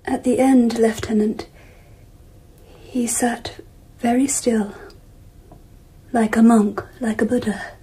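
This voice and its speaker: An actress playing a dignified, reflective empress in a radio drama, telling her memories in a measured, hushed, breathy voice. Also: a little high, flat delivery, heavily acted, poor audio. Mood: reflective, composed. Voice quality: very breathy, often near whisper. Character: dignified, reflective empress recalling mysterious events.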